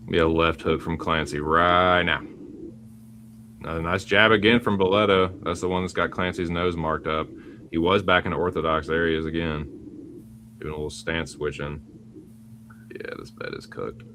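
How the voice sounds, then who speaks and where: A man with a New England accent giving relaxed, self-mocking play-by-play of a fight during a live watch-along. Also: sits low, normal speed, a little hiss or hum. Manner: laid-back play-by-play, self-mocking about bets. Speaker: co-host, live fight commentator.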